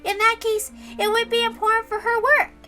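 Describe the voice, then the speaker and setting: Visual novel little girl: tiny high bright voice, earnest, serene, patiently lecturing optimist. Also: little pitch movement, faint music behind. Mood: calm, reasoning. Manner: measured, reasoning, gently lecturing. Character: serene nine-year-old girl, earnest, gently preachy, unshakably optimistic. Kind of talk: dramatic reading of a visual novel.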